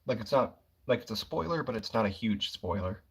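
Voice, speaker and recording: A young man talking quietly and casually on a livestream, rambling about comic books with lots of fillers. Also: lowish voice, expressive, brisk, breathy edge. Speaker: comic book streamer.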